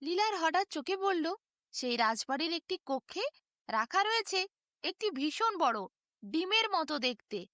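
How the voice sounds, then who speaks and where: A woman narrating a bedtime fairy tale in an expressive, dramatic, emphatic storytelling manner. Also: very high-pitched, a little slow, dry recording, clean studio sound.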